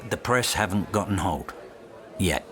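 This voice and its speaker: An actor playing a stern, measured university boss in a radio drama, reproaching a colleague in a deliberate, admonishing way. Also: male voice, deep voice, animated, crowd noise. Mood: placating. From Essex, England. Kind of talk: naturalistic radio drama acting.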